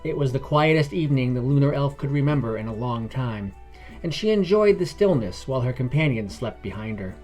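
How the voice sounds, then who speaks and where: A man's voice: medium pitch, expressive, brisk, darkish tone, soft background music. A man reading scripted fantasy narration as a storyteller.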